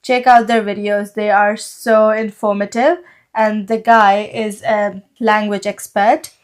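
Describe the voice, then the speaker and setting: A young woman with a Pakistani accent chats through a podcast, her voice sitting at an ordinary middle pitch. Urdu is her first language, and she explains things at length, leaning on "like" and "so" as she goes. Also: fairly even intonation, measured pace, smooth. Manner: chatty, explains at length with many "like" and "so" fillers. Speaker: podcast co-host. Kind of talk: casual conversational podcast.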